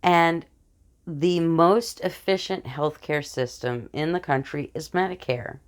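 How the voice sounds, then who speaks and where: A woman's voice: low voice, slow pace, smooth. An American woman giving an informal political speech from notes in an earnest, explanatory manner. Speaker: speaker announcing a political platform.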